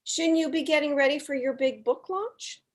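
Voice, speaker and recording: A woman acts out a needling mother of sixty in a comedy script. Her voice sits fairly high. She teases with mock sweetness. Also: normal speed, lightly acted. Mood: teasing. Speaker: actor in a table read. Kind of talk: comedic dialogue reading.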